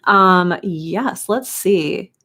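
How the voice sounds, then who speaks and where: A woman's voice: lowish voice, drawn-out words, bright, forceful tone. A woman hosting a live stream, chatting casually and encouragingly as she greets viewers and reads out comments. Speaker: live stream host and writing teacher.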